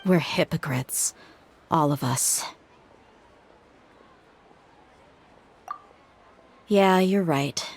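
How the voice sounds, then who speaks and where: A woman's voice: takes it slowly, husky, exaggerated voice. A woman playing a tough, candid desert mercenary in a video game, frank and reflective. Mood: bitter, reflective. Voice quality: low, steady. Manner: frank, reflective, casually reassuring. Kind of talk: video game cutscene acting.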